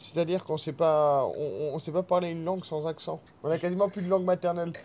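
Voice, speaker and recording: Fast-talking Alsatian man, mellow voice. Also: fairly high pitch, steady tone. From Alsace. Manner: quick, stammering repetitions, joking. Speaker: winemaker guest. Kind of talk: casual chat at a wine tasting.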